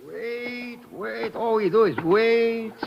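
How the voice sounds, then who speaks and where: A man's voice: extremely high pitch, takes it slowly, vocal fry, lo-fi sound, heavily acted. Sick old man, plaintive, old radio drama, long reverb, noisy recording. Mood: impatient. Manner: plaintive, earnest, courteous. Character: sick, weary, self-sacrificing old man dreaming of America. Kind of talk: 1950s radio drama acting.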